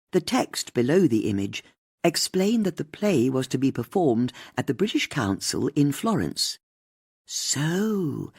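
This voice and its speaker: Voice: medium pitch, a little mellow, slightly rough, no room sound, clean studio sound. An audiobook narrator reading scripted mystery fiction.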